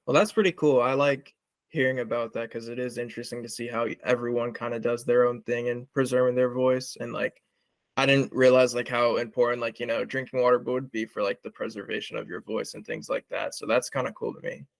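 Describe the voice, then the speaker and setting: A man's voice: mid-range voice, little pitch movement, a little fast, creaky, dry recording. A young man hosting an interview, talking casually with lots of "like" fillers. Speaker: interviewer, project creator.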